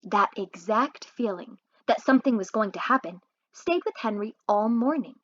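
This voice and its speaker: Animated woman reading a children's story. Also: on the high side, highs cut off. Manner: animated, pauses to ask listeners questions. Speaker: read-aloud host, storyteller. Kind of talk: expressive children's story read-aloud.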